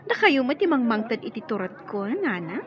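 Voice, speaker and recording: A woman's voice: on the high side, sing-song, moderate pace, highs cut off, a faint crowd. An actress playing a spirited, cheeky young woman in a radio drama, exclamatory and playful in manner.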